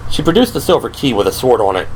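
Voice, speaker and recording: A man's voice: mid-range voice, very animated, quick pace, slight background noise, rough recording. A man reading scripted third-person narration for an audio drama. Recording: music behind the voice.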